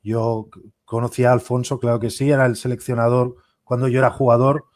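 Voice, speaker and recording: A man from Spain, a guest and former player, speaking haltingly with dry, self-deprecating humor. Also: medium pitch, little pitch movement, a little mellow, no echo. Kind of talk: casual interview.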